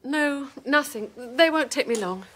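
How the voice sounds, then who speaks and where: An actress playing a young wife, by turns rustic and haunted, in a British radio horror drama with theatrical, archaic phrasing. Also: female voice, high voice, lively intonation, a little slow, very noisy. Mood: subdued.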